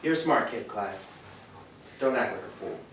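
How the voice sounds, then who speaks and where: Actor as a smug sidekick, very echoey stage. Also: male voice, fairly low pitch, animated, moderate pace, fairly bright tone. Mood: quietly threatening. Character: smug male sidekick bully feigning friendliness. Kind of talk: stage play acting. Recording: very echoey stage, distant mic, faint noise.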